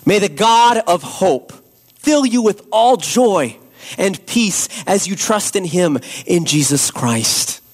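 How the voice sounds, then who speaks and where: A hoarse man preaching a Christmas Eve sermon and leading prayer as a pastor, slow and earnest. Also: high-pitched, lively intonation. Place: church.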